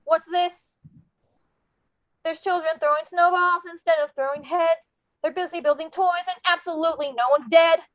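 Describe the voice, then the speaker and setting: A woman acts out an excitable skeleton man full of wonder, pitched extremely high. The delivery is theatrical and rhythmic, like verse from a musical table read. The sound is dry and somewhat dull. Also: normal speed, no room sound. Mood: amazed, excited. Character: Jack, excited wonderstruck male skeleton from a Halloween land.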